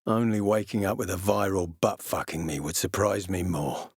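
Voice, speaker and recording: Game role: gruff military commander, suspicious, curt and threatening, profane streak, recorded dry. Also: male voice, sits low, moderate pace, dry recording. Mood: contemptuous threat. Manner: terse, menacing, sardonic, profane. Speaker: video game voice actor. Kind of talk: video game character dialogue.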